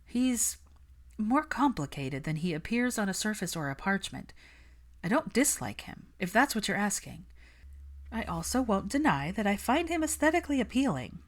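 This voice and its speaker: A woman's voice: mid-range voice, big pitch swings, moderate pace, voice pushed up. Woman doing a serious young witch healer in an audiobook, defensive, careful, kinda formal. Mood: measured.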